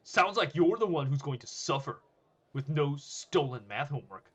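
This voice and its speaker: An indignant student acted by a man in a narrated story, speaking high above a plain register. The voice is strained, rough and protesting, easily flustered. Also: sing-song, unhurried, pushed and bright, exaggerated voice. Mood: defiant. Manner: stammering, protesting.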